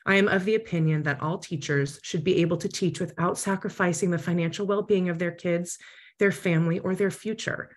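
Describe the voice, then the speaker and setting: A woman's voice: fairly low pitch, fairly even intonation, a little fast. A woman firmly reading a prepared public comment by video call, with pointed emphasis. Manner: firm, pointed delivery.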